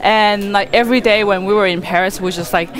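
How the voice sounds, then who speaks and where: A woman's voice: mid-range voice, steady tone, quick pace, a bit bright, rough recording. A woman being interviewed as a wedding photographer, talking conversationally in a rambling, chatty way with "like" as a frequent filler.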